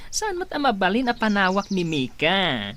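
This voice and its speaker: An actress playing a blunt, nosy young friend in a radio drama, questioning with a Filipino Ilocano accent. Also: female voice, medium pitch, wildly swooping pitch, moderate pace, fairly bright tone, a little hiss or hum. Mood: shocked, scolding.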